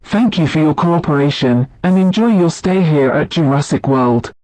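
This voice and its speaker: A man playing a theme park emergency announcer, reading scripted alerts in a formal, measured, impersonal manner. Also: a little high, lively intonation, noisy background, close to an everyday voice.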